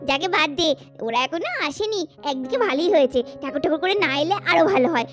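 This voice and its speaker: A woman's voice: high-pitched, expressive, fast talker, music in the background. A woman voicing a little schoolgirl doll in a children's cartoon, chatty and bickering in a childish, colloquial way. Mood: gossipy, catty. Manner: childish, colloquial scolding and teasing.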